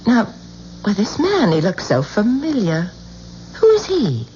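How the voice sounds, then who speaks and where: An actress playing a determined, frightened wife in a 1970s radio drama, urgent and pressing. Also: female voice, medium pitch, huge pitch swings, a little slow, warm tone. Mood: coaxing. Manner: urgent and anxious, pressing hard. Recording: dull old radio broadcast with faint hiss.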